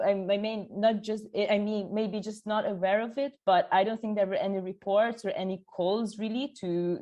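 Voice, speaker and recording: A woman's voice: mid-range voice, a little mellow. Young woman with a Hungarian accent. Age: young adult. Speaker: audience questioner, PhD student. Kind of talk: prepared questions at an academic seminar.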